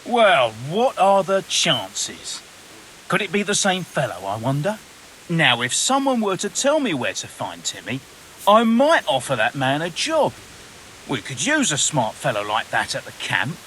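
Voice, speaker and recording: Smirky threatening game bandit, very animated pitch, sharp voice, bit of hiss. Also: male voice, a little slow, exaggerated voice, rough recording. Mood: sly, mock-friendly. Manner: insinuating, sarcastic. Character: sly, menacing bandit recruiter with mock-friendly manner. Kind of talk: video game cutscene acting.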